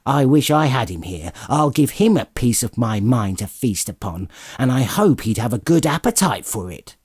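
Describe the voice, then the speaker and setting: A man voicing an indignant, sharp-tongued Victorian wife and mother in a dramatized audiobook, emphatic and sarcastic. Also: medium pitch, big pitch swings, moderate pace, slightly rough, no room sound, studio quality.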